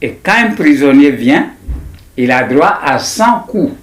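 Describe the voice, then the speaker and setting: A man in his nineties with an Ivorian accent, recounting his memories in an interview in a deliberate, halting way with repetitions. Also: on the high side, lively intonation. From Ivory Coast. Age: 90s. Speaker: interviewee, writer. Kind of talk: personal recollection in an interview.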